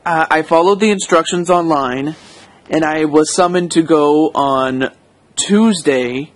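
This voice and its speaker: An American man talks through an unscripted personal audio log in a slightly high voice. His delivery is slow and hesitant, wandering and doubling back to fix his own phrasing. The sound is dry, with very little room echo. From United States. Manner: hesitant, rambling, self-correcting. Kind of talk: casual unscripted monologue.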